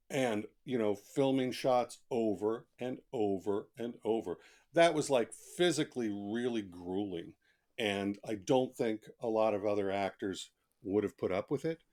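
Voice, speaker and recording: Low-voiced man telling anecdotes in an interview. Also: a little mellow. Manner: conversational, emphatic, colloquial. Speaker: author, interview guest. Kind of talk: casual podcast interview, anecdotal storytelling.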